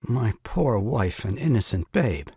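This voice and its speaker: Husky, self-pitying young husband. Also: male voice, lowish voice, sing-song, a little slow, warm tone, no echo, studio quality, full character voice. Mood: plaintive. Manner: sarcastic, mock-tragic. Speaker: voice actor. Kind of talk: stage farce audio drama acting.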